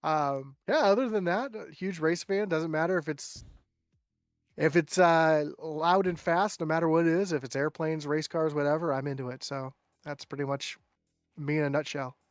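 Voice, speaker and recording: A man's voice: on the high side, normal speed, no room sound, faint music behind. Chatty man with a dry close sound. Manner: chatty storyteller who mimics people in raised voices. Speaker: guest. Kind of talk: casual podcast chat.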